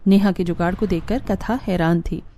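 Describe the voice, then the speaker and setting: A woman's voice: lowish voice, steady tone, moderate pace, mellow. A woman reading a scripted moral story as its narrator. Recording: music behind the voice. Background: background music.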